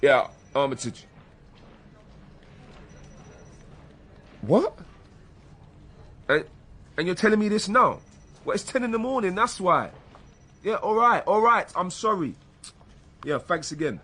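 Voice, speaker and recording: A man's voice: high-pitched, big pitch swings, a little slow, long pauses, bright, forceful tone, slightly rough, heavily acted. An actor playing a blunt, defensive British police detective, a man who talks colloquially in a naturalistic radio drama. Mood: terse, pressed. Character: hot-headed, defensive male police detective, younger colleague.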